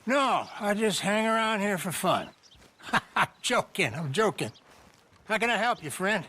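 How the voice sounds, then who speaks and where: A man's voice: high-pitched, animated, measured pace, pushed and bright, full character voice. An actor playing a jovial, jokey frontier store salesman with sales patter in a western video game, with an American accent. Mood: joking.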